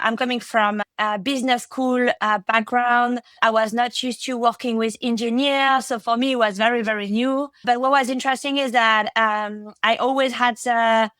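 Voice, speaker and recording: Guest voice: French-accented woman, thirties, higher pitch, dry close sound, chatty with lots of fillers. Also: a bit bright, smooth, dry recording. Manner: chatty, many fillers and restarts. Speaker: podcast guest, product executive. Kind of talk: casual interview talk.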